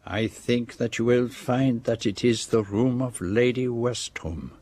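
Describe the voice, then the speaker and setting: An actor playing a shrewd, precise Belgian detective with a French-speaking accent, formally laying out a case in a radio drama. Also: male voice, mid-range voice, a little mellow, no room sound, lightly acted. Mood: measured, assured. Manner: measured, precise, formal explanation. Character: shrewd, precise, theatrical Belgian detective, middle-aged man.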